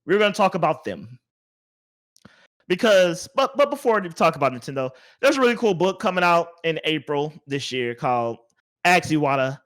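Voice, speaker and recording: Male podcast host, fairly high voice, animated and rambling, informal chat delivery. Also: moderate pace. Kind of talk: casual gaming podcast discussion.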